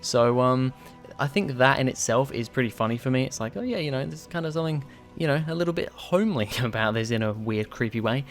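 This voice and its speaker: A man's voice: medium pitch, expressive, fairly quick, faint music behind. A young man from West Yorkshire casually reviewing and ranking episodes, with frequent ums and restarts. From West Yorkshire, England. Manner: chatty, lots of ums and restarts. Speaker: video host ranking episodes.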